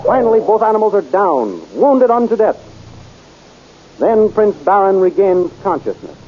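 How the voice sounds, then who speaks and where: A man's voice: high voice, very animated, moderate pace. Dramatic radio serial narrator, man. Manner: dramatic and urgent. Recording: muffled old radio recording with clear hiss.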